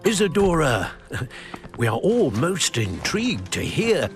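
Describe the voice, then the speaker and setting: A man playing a wise, cautious wizard professor with gentle, formal, measured phrasing in a dramatic video game. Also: fairly high pitch, wildly swooping pitch, full character voice. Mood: welcoming. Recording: orchestral music behind the voice.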